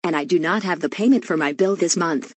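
A man's voice: on the high side, a little fast, husky, pitched above the performer's own voice. A man voicing a worried woman who can't pay her water bill, pleading and flustered in amateur voice acting.

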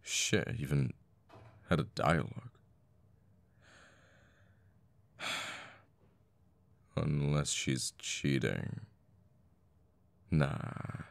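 A man acts out a cocky, menacing assassin boyfriend for an ASMR roleplay. His voice is extremely deep and full of gravelly fry. He murmurs unhurriedly, swearing and pausing as he goes. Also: no echo, heavily acted. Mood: musing. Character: cocky young male assassin, flippant, menacing, secretly a devoted boyfriend. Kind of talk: intimate ASMR audio roleplay.

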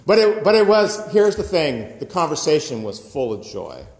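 A man's voice: a little high, sing-song, normal speed, a little mellow. A man in his fifties preaching a sermon in a conversational way, filmed close up on a phone in a church sanctuary. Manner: conversational preaching with gestures and asides. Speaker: pastor. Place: church sanctuary with high ceiling. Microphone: phone camera close up, looking upward. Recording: echoey church with long reverb.

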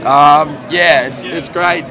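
A young Australian man, a footballer, tired after a game, answering interview questions in a relaxed, hesitant way with many fillers. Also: a little high, slow. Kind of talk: casual post-game interview. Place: noisy team change rooms after a match. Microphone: handheld mic held by interviewers. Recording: loud crowd noise behind.

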